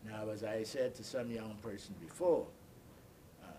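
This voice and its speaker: An elderly man recalls his life in an interview, speaking as a deliberate, halting storyteller who repeats and explains. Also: fairly low pitch, warm tone, a little hiss or hum. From Bahamas. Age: 80s or older. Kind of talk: oral history recollection.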